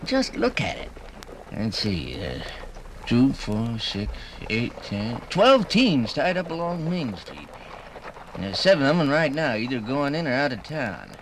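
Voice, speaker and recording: A man's voice: mid-range voice, wildly swooping pitch, measured pace. An actor playing a folksy frontier townsman in a 1950s radio drama. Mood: proud, excited. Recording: noisy 1950s vinyl radio recording.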